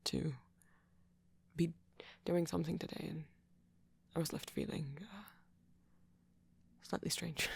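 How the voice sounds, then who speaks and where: A man voicing a shy, gentle vampire boyfriend in an ASMR romance roleplay, stammering hesitantly in a soft, breathy voice. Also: fairly high pitch, takes it slowly, pauses often, heavily acted. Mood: nervous, affectionate.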